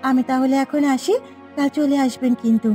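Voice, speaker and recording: Woman playing a young village mother, earnest, pleading, high bright voice, music behind. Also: steady tone, a little fast, lightly acted.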